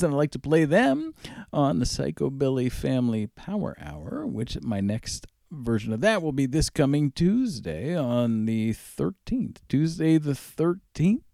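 A man's voice: mid-range voice, gravelly, warm tone, no echo, studio quality. A man hosting a music radio show, casually back-announcing songs in an upbeat, enthusiastic manner. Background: music under the voice.

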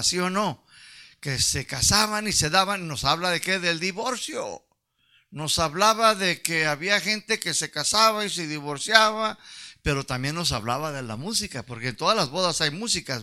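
A man's voice: fairly high pitch, bright, forceful tone, clear, resonant tone. A Chicano man with a Chicano Spanish accent preaching to his church, folksy and asking the congregation questions. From Mexican-American. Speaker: pastor. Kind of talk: sermon.

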